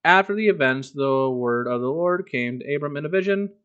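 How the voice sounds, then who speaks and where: A man's voice: on the high side, lively intonation, a little fast, a bit bright, smooth, muffled recording. A man reading a book aloud as a streamer, breaking off into casual, irreverent, swearing commentary. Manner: irreverent, profane asides.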